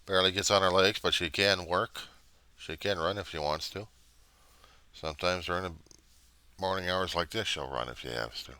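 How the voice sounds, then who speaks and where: A middle-aged American man giving a casual, rambling monologue, halting as he thinks aloud in plain words. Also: low voice, bright, forceful tone, smooth, no room sound. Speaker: vlogger.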